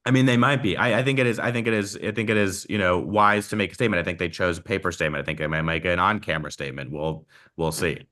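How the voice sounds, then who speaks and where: Male pundit, low but bright voice, measured, hedging, unscripted panel analysis. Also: steady tone. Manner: measured, hedging, repeats phrase openings. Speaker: political commentator panelist. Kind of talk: off-the-cuff political analysis.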